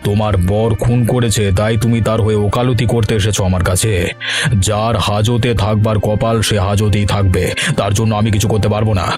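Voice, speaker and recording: A harsh cartoon village master acted by a man speaks quickly and with open contempt. He is brusque and bossy, with music underneath. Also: lowish voice, little pitch movement, darkish tone, lightly acted. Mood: scornful, angry. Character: scornful, harsh village master dismissing a pleading woman. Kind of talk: cartoon voice acting.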